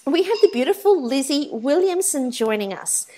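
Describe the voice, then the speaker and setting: A woman co-hosting a casual podcast chat, her voice croaky and hoarse, playful and laughing. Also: high voice, mellow, poor audio. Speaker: podcast co-host.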